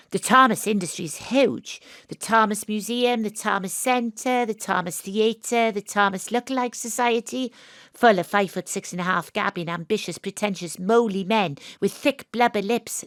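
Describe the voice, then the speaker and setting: An actress playing a wry, world-weary private detective, narrating and talking in an audio drama. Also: female voice, mid-range voice, fairly bright tone, no echo, clean studio sound. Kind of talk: audio drama dialogue and noir-style narration.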